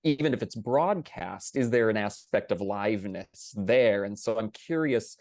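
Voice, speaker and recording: A man speaking earnestly as a panelist in a thoughtful discussion, sometimes halting as he builds his point. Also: lowish voice, animated, a little mellow, dry recording, clean studio sound.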